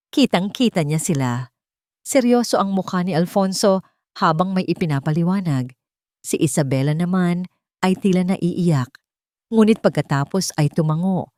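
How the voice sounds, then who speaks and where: A woman's voice: on the low side, a little mellow, dry recording, clean studio sound. A woman narrating a scripted romantic drama story in a dramatic storytelling style.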